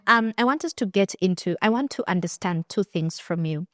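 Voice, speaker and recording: A woman's voice: lowish voice, a little fast, no echo, clean studio sound. A young Kenyan woman with a Kenyan accent hosting a casual interview, telling stories with lots of restarts. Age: young adult. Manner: animated storytelling with frequent restarts. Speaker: podcast host and finance coach.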